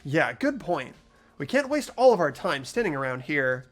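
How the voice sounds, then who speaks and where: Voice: medium pitch, very animated, moderate pace, soft background music. A playthrough host reading a video game story aloud in character, with casual asides.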